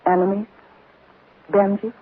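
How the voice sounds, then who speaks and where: An actress playing an anxious, scheming woman in 1940s radio drama, speaking in a nervous, halting way. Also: mid-range voice, little pitch movement, slight background noise, lightly acted. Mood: guarded. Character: Norma, anxious scheming woman hiding a murder plot. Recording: old radio, narrow telephone-like sound.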